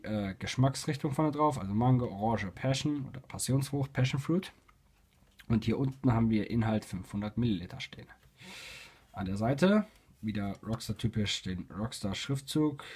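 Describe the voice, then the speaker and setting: A young man with a cold, casually reviewing a product and talking in a halting way with many fillers and restarts. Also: lowish voice, a little mellow, slightly rough. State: has a cold. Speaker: YouTube reviewer. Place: indoors at a table, voice-over with hands on camera.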